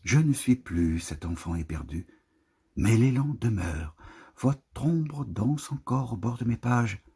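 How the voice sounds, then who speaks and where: Husky, slow-paced man reciting poetry. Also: mid-range voice, animated, dark tone. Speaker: poetry reader. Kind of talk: literary poetry recitation, scripted narration.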